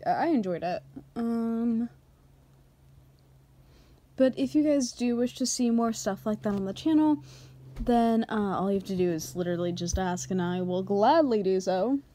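A woman speaks as an ASMR creator to her audience. Her tone is mellow and informal. She feels her way through sentences with some hesitation. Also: mid-range voice, expressive, normal speed. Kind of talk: casual outro to listeners.

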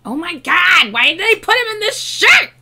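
A woman in her thirties, hoarse from losing her voice, chatting excitedly in a casual reaction vlog at her desk at home. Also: extremely high pitch, moderate pace. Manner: excitable, chatty, gushing with laughs and exclamations. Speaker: K-pop reaction YouTuber. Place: home room with shelves of albums and collectibles, indoors. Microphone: webcam framing at desk.

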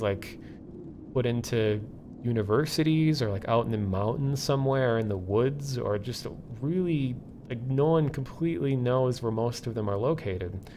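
A man hosting a livestream, explaining things casually and off the cuff in a halting, thinking-aloud way. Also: medium pitch, a little mellow, a little hiss or hum. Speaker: livestream host. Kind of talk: casual live explainer, unscripted.

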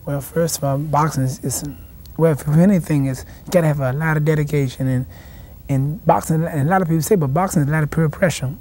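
A young man, a boxing champion answering in a TV studio interview, halting and restarting his words. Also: a little high, mellow. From South Carolina, raised in Washington, D.C. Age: young adult. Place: TV studio set.

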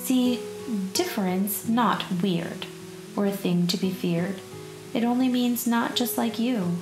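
A woman narrating a rhyming children's picture book in an expressive, measured storytelling way. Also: on the high side. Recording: roomy echo, music behind. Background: plucked guitar music.

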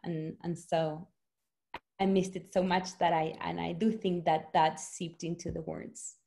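A woman's voice: lowish voice, unhurried, gravelly. A woman in her forties from Argentina with an Argentine accent, talking earnestly and reflectively about home in a video-call interview. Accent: Argentine, from Rosario. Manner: earnest, reflective, speeds up when excited. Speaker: author. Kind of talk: conversational interview. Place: small home room.

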